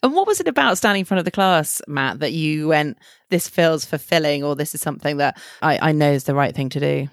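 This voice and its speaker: A woman's voice: sits low, animated, fairly quick, dry recording, clean studio sound. A woman hosting a podcast, asking questions in a friendly, encouraging way. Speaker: podcast host. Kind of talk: podcast interview.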